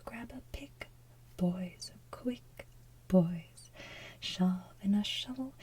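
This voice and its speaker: A woman hosting ASMR in a hushed, breathy, playful way, moving between whispered chat and soft singing. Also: fairly high pitch. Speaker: ASMR channel host.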